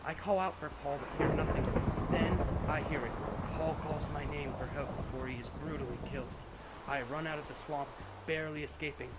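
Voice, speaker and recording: A man's voice: a little high, fairly even intonation, a little fast. Young man telling a mock-spooky story. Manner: swearing, jokey, shifts between solemn and shouting. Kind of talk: mock-dramatic comedic storytelling. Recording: noisy background.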